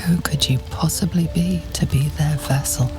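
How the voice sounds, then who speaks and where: A woman playing the ominous narrator of a dark fantasy video game, speaking in a deliberate, portentous, often near-whispered way. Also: sits low, fairly even intonation, lightly acted. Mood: brooding, grave. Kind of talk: scripted second-person game narration. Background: music.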